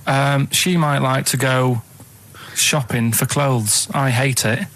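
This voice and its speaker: A man with a Manchester accent co-hosting a comedy radio show in casual banter, halting and matter-of-fact as he explains himself. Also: mid-range voice, fairly even intonation, a bit bright, low-quality recording.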